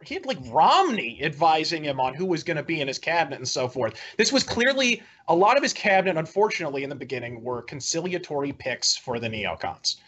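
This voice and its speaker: A man's voice: on the high side, big pitch swings, fast, hardly any pauses, fairly bright tone, slight background noise. A man in a heated political debate, argumentative, often breaking off and restarting his sentences. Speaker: debate guest.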